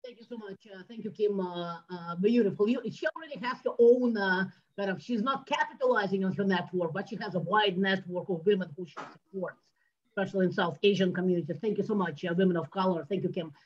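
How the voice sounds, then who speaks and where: A woman hosting an online meeting, introducing each guest in a halting way with many filler sounds. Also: medium pitch. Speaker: meeting host introducing board members. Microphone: video call. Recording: muffled lo-fi call audio.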